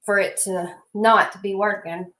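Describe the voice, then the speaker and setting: A woman's voice: mid-range voice, fairly even intonation, a little slow, a bit bright. A woman with a Southern accent, chatting casually and laughing on a livestream while she sets up a printer. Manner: chatty, laughs often, folksy talk. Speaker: livestream co-host.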